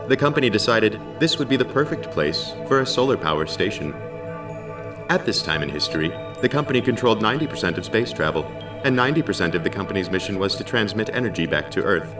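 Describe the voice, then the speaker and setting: A man playing a calm, dutiful artificial intelligence who narrates a science fiction audio drama in a measured, formal and precise way. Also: low-pitched. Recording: slightly distant, music underneath. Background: music.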